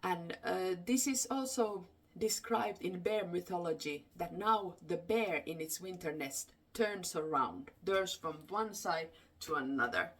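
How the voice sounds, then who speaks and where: A woman with a Finnish accent, a native Finnish speaker, telling folklore unscripted in a halting way, searching for her words. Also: on the low side, a bit bright. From Finland. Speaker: storyteller. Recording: distant microphone.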